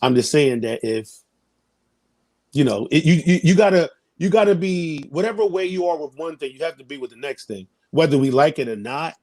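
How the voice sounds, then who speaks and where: A man in his thirties with a slightly high voice talks casually as an interview guest. He gives his opinions in a halting way, restarting and repeating phrases while he works toward his point. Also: expressive, darkish tone. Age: 30s.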